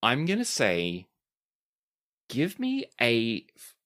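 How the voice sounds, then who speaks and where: A man's voice: mid-range voice, huge pitch swings, a bit bright. Man narrating a tabletop game. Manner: descriptive, unhurried storytelling with dramatic pleading quotes. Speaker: game master.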